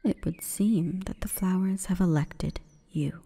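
Female forest spirit, played by a woman for ASMR roleplay: mellow breathy whisper, solemn and seductive, in long reverb. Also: lowish voice, full character voice. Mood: solemn, hushed. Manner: slow, breathy, formal and commanding.

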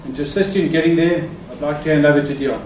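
A man with a fairly high voice welcomes the audience in a formal, polite way. He is heard from far off in an echoey hall, with faint background noise. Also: steady tone, very fast, a little mellow. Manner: formal, courteous. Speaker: host introducing the speaker. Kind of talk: welcome speech. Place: conference hall.

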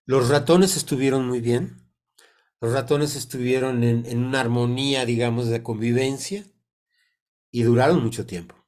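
An older man in his sixties speaks Spanish with a Mexican accent, his voice congested from a cold. He teaches informally over a video call at a slow pace, pausing often as he deliberately searches for each phrase. His pitch sits in an ordinary middle range for a man. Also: a little mellow. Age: 60s. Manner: halting, deliberate, with many pauses. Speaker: sound therapy teacher. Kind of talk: informal explanatory online talk. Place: indoor room on a video call. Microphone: computer mic on a video call.

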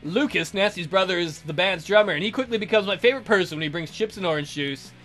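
A man's voice: high voice, fast, a bit bright. A man hosting a let's play, reading visual novel narration in an informal way and interrupting himself with casual commentary. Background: music with guitar.

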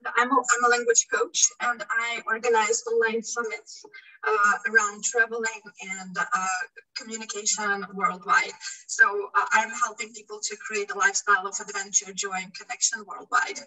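A woman's voice: mid-range voice, pushed and bright. A woman whose native language is Russian, a language coach introducing herself on a video call. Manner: fluent, some fillers. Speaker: language coach and summit organizer. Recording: sounds distant from the mic.

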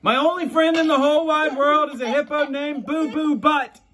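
A man's voice: very high-pitched, steady tone, moderate pace, bright, forceful tone. A man in his thirties reading a picture book aloud to young children, playful and theatrical, with mock reluctance and exaggerated silly voices. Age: 30s. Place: child's bedroom at home. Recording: children laughing and chattering behind.

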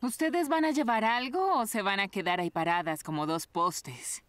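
A woman's voice: medium pitch, moderate pace, fairly bright tone, dry recording, natural voice. A woman voicing a surly cartoon shop clerk who has a romantic streak. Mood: irritated, curt. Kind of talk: cartoon voice acting. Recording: music behind the voice.

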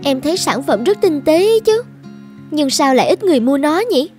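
A woman's voice: high-pitched, sing-song, normal speed, a little mellow, faint music behind. A woman voicing a curious, eager young creative trainee in a cartoon, speaking politely and inquisitively. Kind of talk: dubbed cartoon dialogue.

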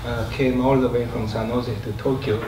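A middle-aged man from Japan with a Japanese accent, giving an informal guest speech in a halting, hesitant way. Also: medium pitch, level tone, dark tone. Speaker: guest speaker, writer and researcher. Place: indoor meeting room, standing. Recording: echoey room, distant mic, clear background noise.

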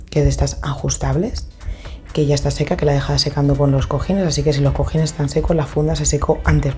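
Woman, 30s, low mellow voice, chatty cleaning-vlog voice-over, loose with restarts. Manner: chatty, detailed, with frequent restarts and fillers. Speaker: home cleaning vlogger. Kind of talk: casual voice-over narration of a clean-with-me video.

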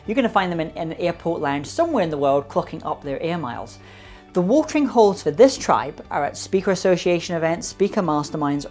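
A man's voice: a little high, darkish tone. A man in his thirties giving a polished, emphatic scripted explainer to camera in a studio, speaking into a lapel mic. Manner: polished, emphatic delivery with gesturing hands. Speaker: business speaker and presenter.

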